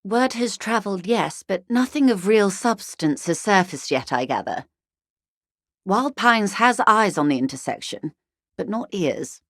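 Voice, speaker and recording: A woman's voice: mid-range voice, lively intonation, measured pace, fairly bright tone, dry recording, studio quality. A woman playing a poised, wealthy, shrewd corporate negotiator in a video game, speaking formally and with polite, guarded care. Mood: measured, courteous. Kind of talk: video game character dialogue.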